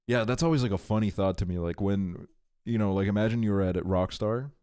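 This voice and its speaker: A man's voice: on the low side, quick pace, mellow, clear, resonant tone, no room sound, studio quality. A man from Estonia livestreaming a video game, chatting casually in slangy, sweary talk. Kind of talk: casual chat while streaming a video game.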